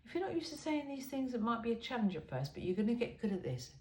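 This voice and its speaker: A woman's voice: fairly high pitch, very animated, fast, darkish tone. A woman reading a self-help book aloud in an informal, blunt and emphatic way.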